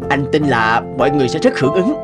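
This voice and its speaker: A man playing an earnest, excitable doctor and inventor in a cartoon, with an eager delivery. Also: fairly high pitch, quick pace, loud music behind the voice. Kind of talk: cartoon dub acting.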